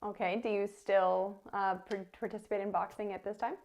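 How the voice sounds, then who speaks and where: A woman with a mid-range voice hosts an interview. She reads a short intro and then asks clear, direct questions. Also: medium pitch, flat delivery, normal speed, a little mellow.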